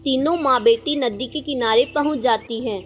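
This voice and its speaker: A woman narrates a children's story from a script. Her voice is high, and soft music runs underneath. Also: normal speed.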